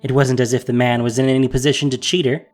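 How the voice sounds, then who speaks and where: A man narrating a fantasy audio drama with expressive storytelling. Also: mid-range voice, quick pace, faint music behind.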